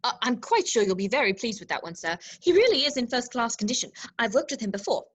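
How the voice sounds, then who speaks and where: Woman doing a prissy polite robot butler, anxious and wordy, swoopy highish voice, sci-fi script read. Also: sing-song, quick pace, breathy edge. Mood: fussy. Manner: formal, deferential, wordy. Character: fussy, polite, anxious humanoid protocol droid servant. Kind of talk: virtual script table read.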